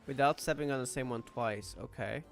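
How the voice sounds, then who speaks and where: A man with an average-pitched voice commentates a game casually. He muses to himself in a relaxed, self-deprecating manner while faint music plays behind him. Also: a little fast, vocal fry. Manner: thinks aloud, low-key, self-deprecating. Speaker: gaming streamer.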